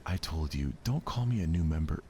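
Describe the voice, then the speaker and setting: A man voicing an awkward, self-conscious high school boy in a visual novel, speaking hesitantly. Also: animated, fairly quick, dark tone, a little hiss or hum. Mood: mildly annoyed.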